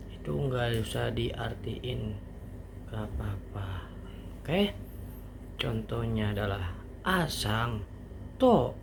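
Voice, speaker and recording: A man giving an informal language lesson as a teacher, explaining haltingly step by step with casual asides. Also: medium pitch, vocal fry. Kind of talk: informal online grammar lesson. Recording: faint background noise.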